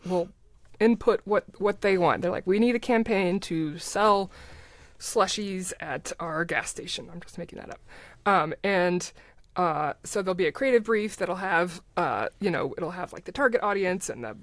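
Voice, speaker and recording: Female podcast guest, lowish register, dry sound, informal explainer with quoted asides. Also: no room sound. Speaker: podcast guest, writer and advertising creative. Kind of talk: casual conversational interview, explaining.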